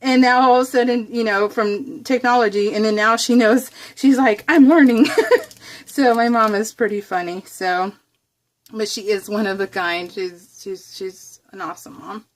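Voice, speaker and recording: A woman's voice: medium pitch, steady tone, normal speed. An American woman chatting casually to viewers while crafting, in a bubbly, giggly, rambling, gushing way. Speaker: craft vlogger hosting a scrapbook process video.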